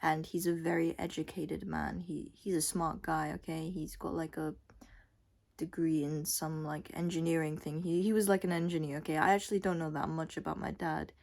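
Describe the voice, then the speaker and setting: A woman's voice: low voice, little pitch movement, moderate pace, vocal fry, darkish tone. A young adult woman vlogger giving a casual, unscripted monologue in a rambling, blunt way with casual swearing. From Scotland and Thailand.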